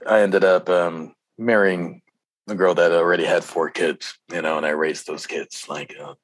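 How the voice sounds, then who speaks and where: A middle-aged man in casual interview conversation, speaking as a guest with halting, laid-back, slangy phrasing. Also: deep voice, little pitch movement, dry recording, low-quality recording. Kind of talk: casual chat.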